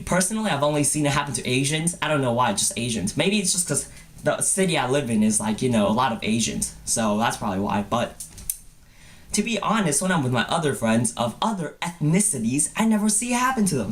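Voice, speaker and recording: A man's voice: mid-range voice, big pitch swings, a little fast. A young man telling personal stories in a goofy vlog style, with playful, exaggerated mock-cute phrasing. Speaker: vlogger. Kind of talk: comedic personal storytelling vlog.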